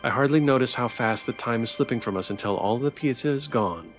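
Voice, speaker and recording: A man's voice: mid-range voice, a little fast, a bit bright. Male game streamer reading story narration aloud, music underneath. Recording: background music.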